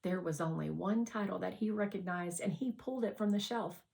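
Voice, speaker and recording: A woman narrating a children's novel aloud as a scripted, expressive read-aloud. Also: medium pitch, brisk, gravelly. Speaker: audiobook reader.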